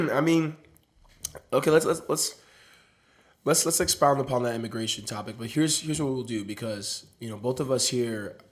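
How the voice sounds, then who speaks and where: Playful podcast host from Nigeria. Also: male voice, mid-range voice, moderate pace. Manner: animated and playful, repeats words while steering. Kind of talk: casual interview chat.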